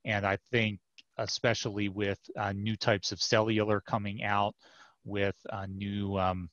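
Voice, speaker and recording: A man's voice: fairly low pitch, level tone, measured pace, a bit bright, dry recording. A man in his thirties, a product manager, answering interview questions over a video call in a thoughtful way with many fillers and restarts. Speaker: software product manager, guest. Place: home office with bookshelves. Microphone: headset mic on video call. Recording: dull dry headset audio.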